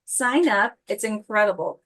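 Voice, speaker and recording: A woman's voice: mid-range voice, expressive, a little slow, a bit bright. A woman from a career services office, upbeat and promotional, introducing programs and a guest speaker on an online video call. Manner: upbeat, promotional, some restarts. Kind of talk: webinar introduction.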